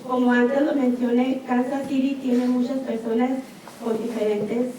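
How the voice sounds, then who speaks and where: A woman's voice: high voice, level tone, measured pace, warm tone. A woman, a chaplain leader, giving earnest prepared public testimony at a city hearing with slight hesitations. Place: public meeting hall. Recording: very echoey hall, distant mic, noise.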